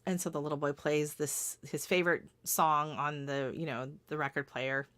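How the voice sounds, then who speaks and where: Woman, middle-aged, from Canada, livestream host, hoarse, chatty, dry sound. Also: low voice, steady tone, normal speed, a bit bright, no echo. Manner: chatty, laughs often, greets viewers by name. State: voice going hoarse. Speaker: gardening channel host. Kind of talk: casual livestream chat.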